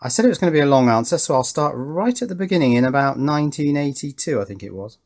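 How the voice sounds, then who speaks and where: A man's voice: on the high side, lively intonation, quick pace, a little mellow. A man in his fifties with an English accent, giving casual, rambling commentary as he works, hesitating and questioning himself as he thinks aloud. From England. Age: 50s. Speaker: electronics hobbyist and video maker.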